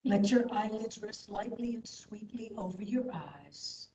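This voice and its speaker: Female meditation instructor, very slow and soothing, low-pitched, recorded in an echoey room with slightly dull sound. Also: animated, slight background noise. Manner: soothing, unhurried, gentle. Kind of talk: guided meditation.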